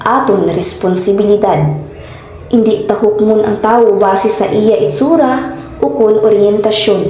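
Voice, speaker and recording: Filipino lady narrating a radio drama, mellow voice, tons of echo. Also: mid-range voice. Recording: very echoey room, music underneath.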